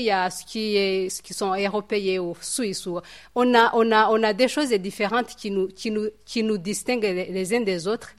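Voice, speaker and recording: A woman from Rwanda leading a Bible study in French. She talks in a hesitant, reflective way, with many fillers and repeated words. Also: mid-range voice. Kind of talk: informal Bible teaching.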